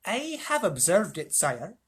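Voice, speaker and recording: Reader doing a shrill, gallant little mouse warrior. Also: big pitch swings, a little slow, slightly rough, exaggerated voice. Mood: proud, challenging. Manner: courtly, formal, touchy about honour. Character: tiny gallant proud male mouse warrior.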